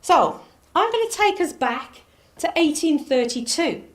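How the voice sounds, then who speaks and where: Lively Northern English woman lecturing. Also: measured pace. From Northern England. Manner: animated, rises on names, drops low on asides. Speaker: public lecturer. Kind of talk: public history lecture. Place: lecture hall.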